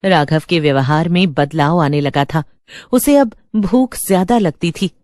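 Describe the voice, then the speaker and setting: Low-voiced woman narrating horror. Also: unhurried, darkish tone, dry recording, studio quality. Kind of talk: scripted dramatic horror narration.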